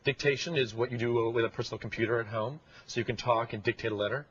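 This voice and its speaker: Guy guest explaining his work casually, raspy voice, muffled sound. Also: medium pitch, fairly even intonation, quick pace. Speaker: interviewed speech interface designer. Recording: dull, muffled recording.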